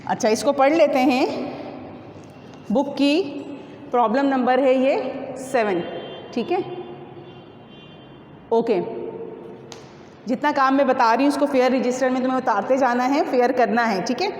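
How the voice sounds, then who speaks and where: A woman's voice: high voice, a little slow, pauses often, a little mellow. A woman physics teacher lecturing through numerical problems in an instructive, repetitive way, often asking questions and answering them herself. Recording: echoey reverberant room with faint noise.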